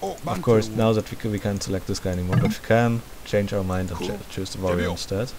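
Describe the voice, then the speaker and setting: A man's voice: lowish voice, fairly quick, a crowd in the background. A man giving a casual screen-recorded game tutorial, thinking aloud step by step. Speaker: tutorial presenter. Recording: steady hiss behind the voice.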